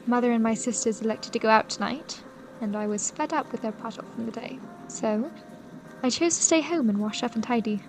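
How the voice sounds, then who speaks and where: A woman's voice: fairly high pitch, brisk, background noise, natural voice. A woman playing a proper, caring young lady of 1780s Vienna in an audio drama, with formal, genteel storytelling phrasing. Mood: composed, recounting. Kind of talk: first-person audio drama narration. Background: music.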